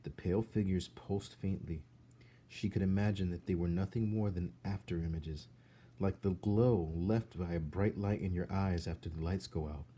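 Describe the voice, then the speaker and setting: A man narrating a children's novel aloud in an expressive storybook style. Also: low-pitched, normal speed. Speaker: storybook reader. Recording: faint background noise.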